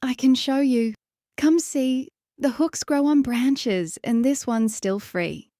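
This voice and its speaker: A woman's voice: high voice, slow pace, dark tone, dry recording, clean studio sound, voice pushed up. A woman voicing eager, friendly young animal schoolmates in a children's storybook, encouraging and exclaiming. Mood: friendly, helpful.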